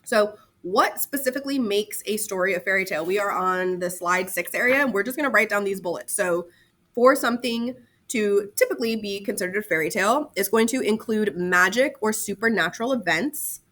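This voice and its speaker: A woman's voice: medium pitch, a little fast, a bit bright. A woman teacher giving a casual lesson to students, talking informally with slang and chatty asides and repeating key terms.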